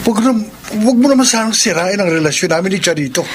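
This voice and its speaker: Guilty Filipino husband, noisy recording. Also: male voice, high-pitched, expressive. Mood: defensive, pleading. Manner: stammering, halting. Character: guilty, evasive husband hiding an affair. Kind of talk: Filipino radio drama acting. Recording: noisy radio drama track.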